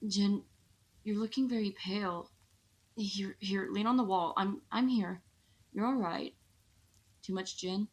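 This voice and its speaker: A woman's voice: medium pitch, a little slow, pauses often, close to an everyday voice. A woman playing a sickly young working-class match girl in an audio drama, blunt, wry and caring like a big sister.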